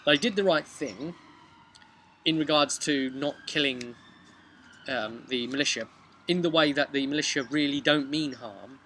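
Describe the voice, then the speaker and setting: A man in his thirties talking casually to camera as a game master, conversational and a little halting, thinking aloud as he goes. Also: mid-range voice, a bit bright, slight background noise. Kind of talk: casual vlog recap of a role-playing session. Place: outdoors on a bench beside a brick house.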